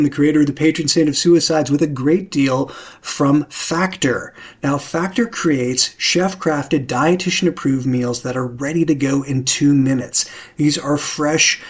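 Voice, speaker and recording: A man's voice: on the high side, fairly even intonation, unhurried. A man speaking casually as the creator and host of a podcast. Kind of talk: casual interview chat and read sponsor message.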